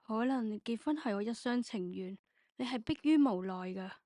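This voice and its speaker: A woman's voice: fairly high pitch, expressive, normal speed, mellow, dry recording, studio quality. A woman playing a hurt, anxious, loving wife in a radio drama, plaintive and searching. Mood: hurt, doubting. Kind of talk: Cantonese radio drama acting.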